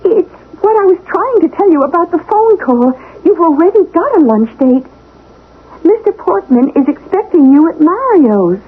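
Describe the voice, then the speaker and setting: A devoted anxious secretary, really high pitched, kinda flustered, old radio play w thin phone-like sound. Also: female voice, big pitch swings, moderate pace. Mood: tearful, sympathetic. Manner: hesitant, flustered. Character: anxious, devoted, soft-hearted female office secretary. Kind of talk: 1970s radio drama acting. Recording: tinny band-limited radio recording, faint noise.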